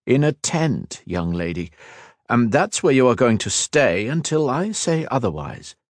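A man voicing a kindly male healer in a fantasy audiobook, gentle but used to getting his own way. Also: medium pitch, sing-song, measured pace, no room sound, clean studio sound, pitched above the performer's own voice. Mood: gently firm. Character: kindly, balding male healer with firm authority.

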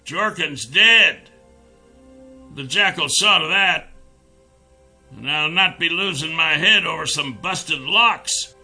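A man acting out gruff, menacing enforcers in a dramatized fantasy audiobook, with a gravelly voice and a sneering manner. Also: fairly high pitch, takes it slowly, long pauses, bright, forceful tone, soft background music, full character voice. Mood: irritated, threatening. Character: gruff, bullying male enforcer and his jeering cronies.

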